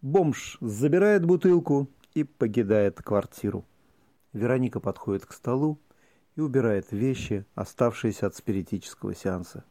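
A man's voice: medium pitch, expressive, normal speed, mellow. A man reading scripted stage directions as the narrator of an audio play.

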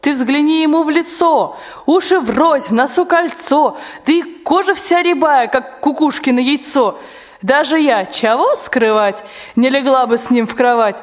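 A female performer playing a sharp-tongued, suspicious old nanny in a rhymed radio play, scolding in peasant speech. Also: sits high, moderate pace, close to an everyday voice. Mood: scornful. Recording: long hall-like reverb.

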